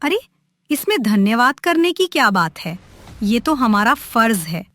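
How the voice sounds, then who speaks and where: A woman's voice: fairly high pitch, very animated, unhurried, fairly bright tone, dry recording. A woman playing a caring young sister-in-law, sweet and earnest, in a melodramatic moral story.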